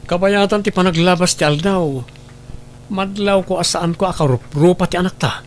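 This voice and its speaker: Actor as insistent, suspicious wounded husband. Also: male voice, sits high, normal speed, a little hiss or hum. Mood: troubled, suspicious. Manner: insistent, accusing. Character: suspicious, wounded husband doubting his child's paternity. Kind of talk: melodramatic audio drama acting.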